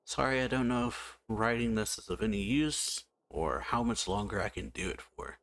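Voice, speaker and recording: Gravelly, musing gameplay host. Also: male voice, on the low side, fairly bright tone. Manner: slow, musing, reads text aloud with frequent hums. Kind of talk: casual let's-play commentary, reading game text aloud.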